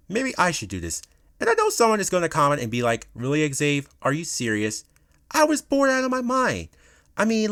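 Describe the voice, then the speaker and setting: A man's voice: mid-range voice, a bit bright, dry recording, studio quality. A man telling a personal story in casual storytime commentary, with informal, slangy, swear-heavy phrasing.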